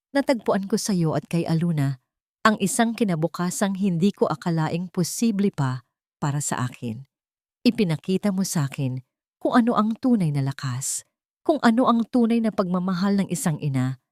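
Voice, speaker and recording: A woman's voice: fairly low pitch, darkish tone, dry recording, studio quality, close to an everyday voice. A woman voicing an earnest, lovestruck wealthy man in an emotional, pleading manner for a romance story.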